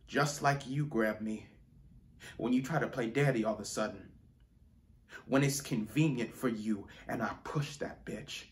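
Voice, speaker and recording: A man's voice: medium pitch, lightly acted. An actor playing an angry, wounded teenage boy confronting his absent father in an intense, emotional theatrical monologue. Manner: intense, emotional, swinging from hushed to explosive.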